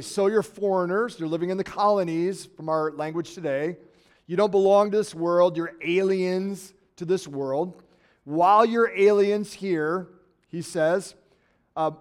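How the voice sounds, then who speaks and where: A man with an American accent preaching a conversational sermon, reading scripture aloud and explaining it earnestly. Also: high-pitched. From United States. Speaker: pastor.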